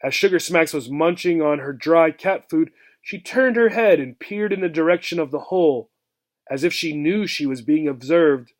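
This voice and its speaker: Higher-pitched man reading a children's book. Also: animated, normal speed. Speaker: reader, teacher. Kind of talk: expressive children's book read-aloud narration.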